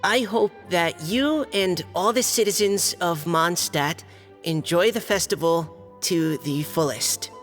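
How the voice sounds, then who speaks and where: A man's voice: sits high, slow, fairly bright tone. A man voicing a shy, earnest young knight in a video game, speaking with careful, polite hesitancy. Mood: formal, measured. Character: shy, earnest young male knight reading aloud a letter. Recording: long hall reverb. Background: music.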